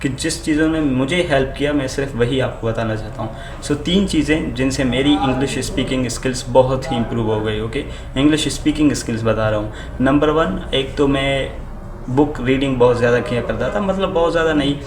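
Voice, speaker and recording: A young man with an Urdu accent, a native Urdu speaker, giving language-learning advice in a halting casual vlog style full of fillers and restarts, switching between English and Urdu. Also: medium pitch. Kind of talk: casual talking to camera. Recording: echoey room with faint ambient noise.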